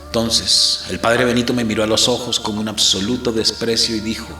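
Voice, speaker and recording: An actor playing a grave, fatalistic captive priest who narrates his ordeal in a measured, theatrical, literary audio-drama style. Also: lowish voice, flat delivery, pushed and bright, roomy sound, faint music behind. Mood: grave, brooding.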